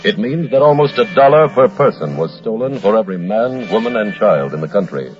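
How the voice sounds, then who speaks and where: Grave, low-pitched vintage radio narrator man. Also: animated. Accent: American. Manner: formal, measured, grave. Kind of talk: 1940s radio scripted narration. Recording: dull old radio recording, music behind.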